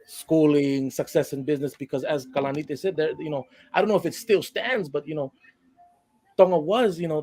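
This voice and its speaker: A man's voice: a little high, a little mellow. A man from Hawaii speaking as a guest on a live online panel discussion, earnest and building to passionate emphasis.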